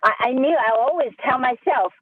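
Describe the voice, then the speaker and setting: A woman's voice: high voice, a little fast, a loud crowd. A woman with a Chinese accent, native speaker of Sichuan dialect, talking upbeat and enthusiastic as a real estate agent on a group coaching call. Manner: upbeat, enthusiastic, repeats herself. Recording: tinny phone line.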